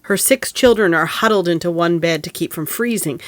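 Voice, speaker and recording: An actress in an audio play playing a kindly middle-aged mother with a gentle, measured manner. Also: lowish voice, no room sound, natural voice. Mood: earnest. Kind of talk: audio play acting and storytelling.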